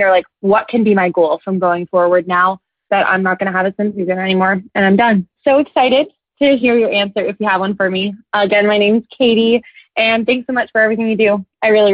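A woman's voice: mid-range voice, steady tone, brisk, no echo. A young woman from Canada leaving a casual, personal voicemail as a caller, speaking earnestly and appreciatively. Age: young adult. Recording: dull, lo-fi voicemail recording.

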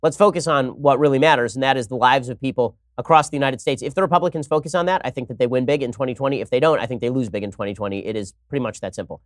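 A man hosting an opinionated political commentary show, talking in a wry, sarcastic way and moving briskly from segment to segment. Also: mid-range voice, no echo, clean studio sound. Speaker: host.

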